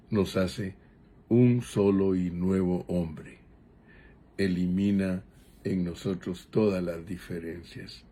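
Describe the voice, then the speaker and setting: A man preaching a Bible teaching sermon in a deliberate, measured way, pausing between short phrases. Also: deep voice, dark tone.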